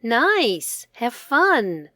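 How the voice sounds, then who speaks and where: Woman playing a lively, loving storybook mom. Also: on the high side, extremely slow, no echo, studio quality, heavily acted. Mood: warm, pleased. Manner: affectionate, expressive. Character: loving, cheerful mother, gently teasing. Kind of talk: children's storybook read-aloud.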